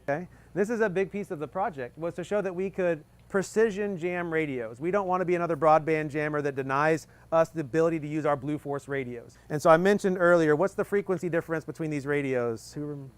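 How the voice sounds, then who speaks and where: A man's voice: on the high side, brisk, clear, resonant tone, no room sound. A man in his thirties presenting a live equipment demonstration outdoors, explaining step by step in a casual, joking way with plenty of fillers. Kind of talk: live technical demo talk. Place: outdoor tent at a desert field demo.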